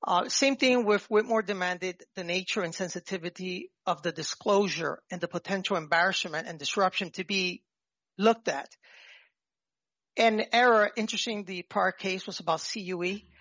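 A man with a New York accent giving a formal, halting and nervous oral argument as a petitioner in court. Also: high-pitched, fairly bright tone, no room sound. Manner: halting, nervous, jumping between points. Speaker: petitioner arguing own appeal. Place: appellate courtroom. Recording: dull courtroom microphone, dry sound.

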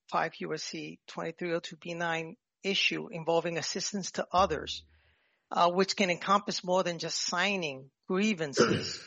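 A man with a New York accent giving a formal, halting and nervous oral argument as a petitioner in court. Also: sits high, creaky, a bit bright, dry recording. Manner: halting, nervous, jumping between points. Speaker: petitioner arguing own appeal. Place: appellate courtroom. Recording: dull courtroom microphone, dry sound.

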